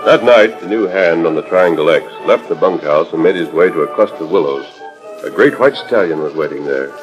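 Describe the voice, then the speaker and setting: A man's voice: deep voice, animated, fairly quick, over music, rough recording. An American man narrating a 1940s radio western in a formal, dramatic storytelling style. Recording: old transcription disc radio recording.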